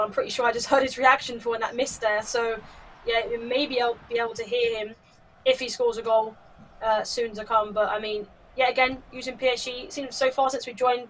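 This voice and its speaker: A woman co-commentates in a chatty, upbeat way, adding analysis between plays. Her voice sits fairly high, and she sounds a little distant from the microphone. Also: a bit bright, a little hiss or hum. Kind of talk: color commentary.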